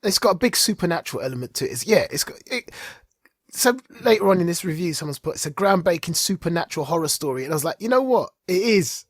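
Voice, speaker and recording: A man with a husky voice, chatting casually as a podcast host and reviewer. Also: a little high, sing-song, fast, no room sound, studio quality. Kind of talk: casual chat, comics review.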